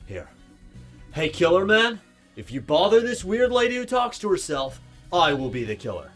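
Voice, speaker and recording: A man's voice: sits high, big pitch swings, normal speed, a bit bright, faint music behind, exaggerated voice. Man voicing a laid-back, swooping young bro ghost. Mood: blustering. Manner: slangy bro talk, casual. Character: laid-back slangy young male ghost hosting Halloween parties. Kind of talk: voiced game character dialogue.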